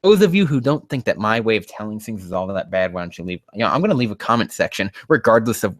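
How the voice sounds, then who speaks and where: Man, lowish pitch, dry sound, sarcastic fan fiction reader, mocking, profane, easily exasperated. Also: big pitch swings, fast talker, dry recording. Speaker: host.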